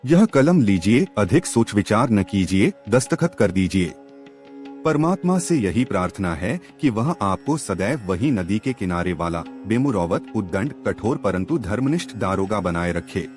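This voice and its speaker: A man's voice: fairly low pitch, big pitch swings, unhurried, darkish tone. A man voicing a wealthy, courteous landowner who speaks formally and entreats earnestly in a story. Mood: earnest persuasion. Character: wealthy, courteous, persuasive landowner pandit, sincere and humble. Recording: music under the voice.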